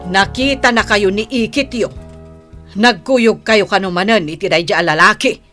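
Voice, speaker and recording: A woman's voice: medium pitch, moderate pace, fairly bright tone, soft background music, natural voice. An actress playing a worried, disapproving mother who scolds and frets over her daughter in a radio drama. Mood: scolding. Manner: fretful, scolding. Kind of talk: Philippine radio drama acting.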